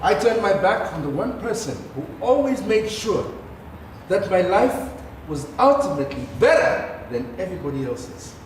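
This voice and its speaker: A man's voice: high voice, very animated, moderate pace, recorded from a distance. A man acting a charming, contrite father and husband in a stage play, middle-aged. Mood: pleading, contrite. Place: theatre stage. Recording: echoey, noisy theatre recording from afar.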